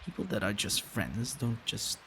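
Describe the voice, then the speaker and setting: Slow, low, gravelly vampire boyfriend played by a man. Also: expressive, a little hiss or hum, exaggerated voice. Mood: shy, tender. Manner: hushed, halting, drifting into breathy whispers and sighs. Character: possessive male vampire boyfriend, protective, tender, worried. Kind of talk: intimate ASMR roleplay acting.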